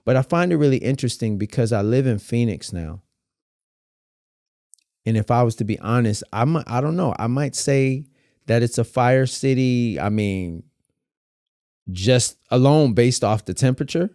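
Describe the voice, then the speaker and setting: A man's voice: mid-range voice, moderate pace, warm tone, no echo, studio quality. A man guest on a podcast, talking casually and emphatically about dance, building his points through vivid comparisons. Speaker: dance teacher and battle judge, guest. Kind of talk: casual conversational interview.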